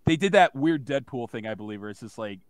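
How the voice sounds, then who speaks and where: A male podcast co-host with a lively, wide-ranging voice. He rambles through long, profane anecdotes in loose, casual chat. The sound is dry and close, with no room echo. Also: medium pitch, fast. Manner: rambling, animated storyteller, profane.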